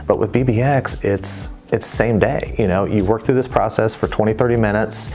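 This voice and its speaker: A man who works as a bank manager, explaining in a measured way with frequent "you know". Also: fairly low pitch, steady tone, dark tone, slight background noise. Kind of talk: interview testimonial. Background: faint background music.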